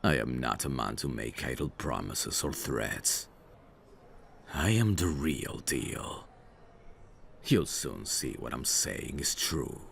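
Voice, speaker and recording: A man acting out a dangerous, suave mafia boss for an ASMR roleplay, with an extremely deep voice that creaks with gravel. He takes his time, drawing words out very slowly in a cocky, flirtatious, teasing way. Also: lively intonation, a little hiss or hum, exaggerated voice. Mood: cocky, seductive. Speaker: voice actor.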